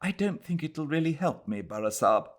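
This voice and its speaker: A nervous, strained young Englishwoman speaks in high, careful tones , as a man puts her on. She shapes each syllable with deliberate precision, clinging to composure. The pitch sits far above a low male reader's own voice. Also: big pitch swings. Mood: fragile.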